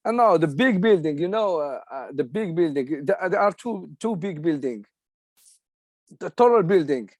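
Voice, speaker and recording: A man's voice: fairly high pitch, lively intonation, moderate pace, darkish tone, no echo. A man in his forties from Morocco, an adult English student speaking hesitantly with many fillers and restarts. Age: 40s. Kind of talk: casual conversation.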